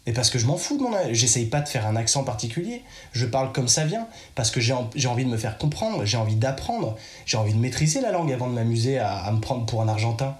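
A man's voice: medium pitch, very animated, fast, hardly any pauses, roomy sound. A French man giving casual advice in a chatty, direct way, speaking straight to the listener. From France. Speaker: podcast host.

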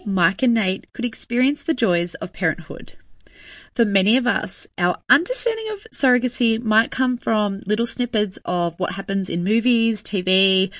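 A woman's voice: medium pitch, dry recording. Bubbly aussie lady hosting a podcast, chatty, super dry sound. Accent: Australian. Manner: bubbly, enthusiastic. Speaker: podcast host. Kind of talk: scripted intro then casual chat.

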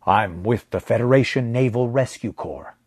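A man voicing a weary, protective male space rescue diver, gentle and plain-spoken, in a science fiction story. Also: mid-range voice, moderate pace, breathy edge, dry recording, studio quality. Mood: gentle, reassuring.

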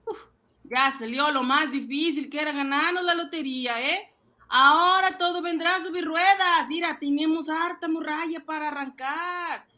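Excitable, high-pitched little schemer. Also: male voice, moderate pace, exaggerated voice. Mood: boastful, excited. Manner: exclamatory, cajoling, colloquial. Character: excitable, boastful ice-cream seller turned would-be banker, male. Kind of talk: comic radio drama acting.

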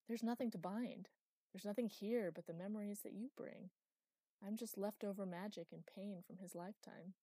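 A woman reader gives voice to a gentle spectral old man who speaks with complete calm. His tone never changes and carries no emotion. The sound is mellow, dry and close. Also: sits high, normal speed, dry recording. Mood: serene. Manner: peaceful, emotionless.

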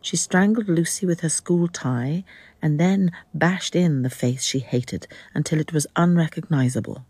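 An actress playing a sober narrator who reads out grim evidence in a radio drama. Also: female voice, deep voice, measured pace, darkish tone, breathy edge, no echo, exaggerated voice. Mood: grave, hushed.

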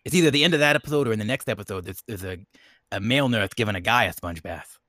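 A man's voice: lowish voice, very fast, bright, forceful tone, no room sound, clean studio sound. A man co-hosting a podcast in casual chat, rambling and often circling back to repeat himself. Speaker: podcast co-host.

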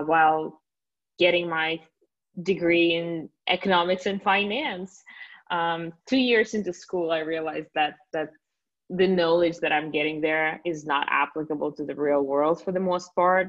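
A woman in her late twenties from Russia with a Russian accent, a guest telling stories in a relaxed way with many fillers. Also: deep voice, lo-fi sound. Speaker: guest, company founder. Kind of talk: interview answers.

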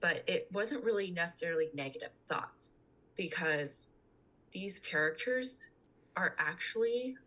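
Young woman, low bright husky voice. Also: rough recording. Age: young adult. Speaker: podcast guest. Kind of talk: casual conversational interview talk.

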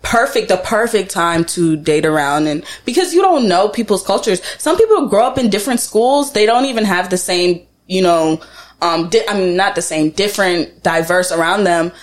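A woman's voice: medium pitch, lively intonation, normal speed. A young woman hosting a podcast, chatting casually, laughing often and swearing now and then. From Jamaica. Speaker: podcast host. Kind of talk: casual chat.

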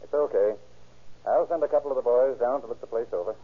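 Casting: male actor as calm, authoritative radio-drama police chief, husky, tinny vintage recording. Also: medium pitch, natural voice. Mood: calm, probing. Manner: brisk, authoritative. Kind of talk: 1930s radio drama acting.

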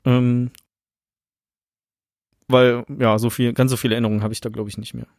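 A young adult man co-hosting a casual film chat in a relaxed, thoughtful, slightly wry way. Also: mid-range voice, a little fast, vocal fry, no echo, clean studio sound. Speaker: podcast co-host.

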